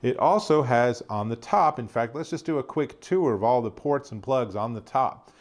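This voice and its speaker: A man's voice: mid-range voice, lively intonation, normal speed. A man giving a casual, conversational product demonstration as an enthusiastic tech reviewer.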